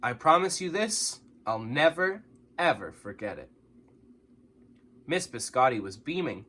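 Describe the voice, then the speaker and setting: A man reads a picture book aloud as a storyteller. His voice is bright and slightly husky, with big swings in pitch. He is expressive and leans hard on key words. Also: unhurried. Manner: expressive, dramatic, with exaggerated emphasis. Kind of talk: animated children's story read-aloud.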